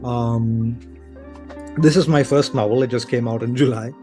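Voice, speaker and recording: A man from Sri Lanka talks as a guest author in a casual interview. He sits at a middle pitch and feels his way through his thoughts, often restarting a phrase. Faint music plays along with his voice. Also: steady tone, normal speed, warm tone.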